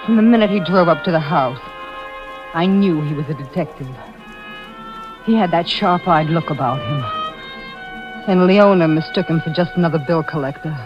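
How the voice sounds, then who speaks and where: A woman's voice: sits low, moderate pace, pauses often, natural voice. A woman acting an anxious, defensive wife in an old-time radio suspense drama. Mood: fearful, brooding. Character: anxious, defensive wife who narrates her suspicions. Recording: dull vintage radio recording with music.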